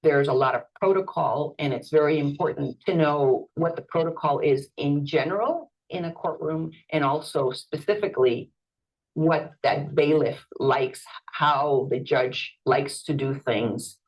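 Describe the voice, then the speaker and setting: Husky low-voiced Salvadoran woman, explaining. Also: unhurried, lo-fi sound. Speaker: court interpreter. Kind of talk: documentary interview narration.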